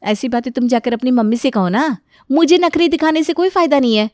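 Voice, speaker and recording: A very high-pitched young wife , voiced by a woman, outspoken and fed up. She grumbles with sarcasm in a storybook family drama, close and dry on the recording. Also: fairly quick, darkish tone, no room sound, lightly acted. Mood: grumbling. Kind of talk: acted dialogue in a moral story.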